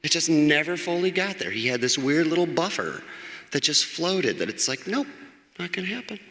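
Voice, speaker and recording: Man in his forties with a bright voice. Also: on the high side, big pitch swings, normal speed. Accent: American. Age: 40s. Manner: casual, rambling, laughing, many fillers. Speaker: grandson giving a eulogy. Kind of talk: personal memorial sharing. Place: church with long reverb. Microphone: church microphone. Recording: church hall reverb.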